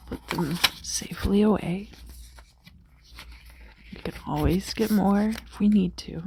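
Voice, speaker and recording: A woman with a soft, whispery voice, chatting casually in a soothing, unhurried way as the host of an ASMR tarot reading. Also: medium pitch, slight background noise. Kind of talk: ASMR, rambling casual chat.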